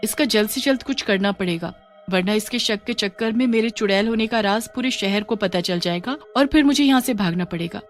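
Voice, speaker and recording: A woman's voice: mid-range voice, a little fast, faint music behind, natural voice. A woman voicing a bloodthirsty young witch in a horror story, menacing and taunting as she schemes. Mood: scheming. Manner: menacing, taunting, muttering to herself. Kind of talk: Hindi horror story character acting.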